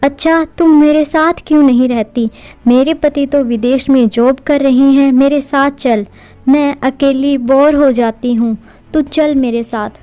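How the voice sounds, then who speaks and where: A woman's voice: high voice, fairly even intonation, unhurried, dark tone. A woman playing a kindly, insistent young friend in a cartoon, talking in a coaxing way. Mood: friendly, insistent.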